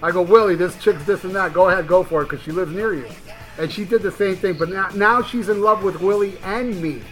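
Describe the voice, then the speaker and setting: A man's voice: sits high, fairly quick, fairly bright tone, faint music behind, rough recording. An American man hosting a podcast, telling rambling, crude stories with lots of swearing and laughter. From United States. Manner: rambling storyteller, swears freely, laughs often. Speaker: podcast host. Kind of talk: casual crude podcast chat. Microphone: headphones.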